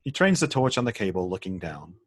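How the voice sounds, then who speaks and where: A man's voice: low voice, big pitch swings, a little fast. A man narrating stage directions in a script table read, matter-of-fact and occasionally stumbling over words.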